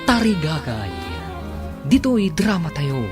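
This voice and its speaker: Male Ilocano radio drama narrator, lively sweeping delivery, music underneath. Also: a little high, slow. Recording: music behind the voice.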